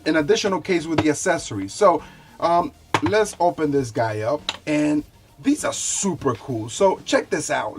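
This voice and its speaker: Casual man with a slightly high voice. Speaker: gadget reviewer. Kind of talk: casual hands-on review chat.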